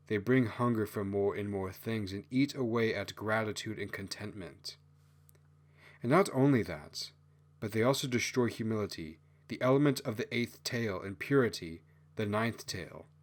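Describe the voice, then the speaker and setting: Man playing a low, stern fox brother. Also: normal speed, close to an everyday voice. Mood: grave, admonishing.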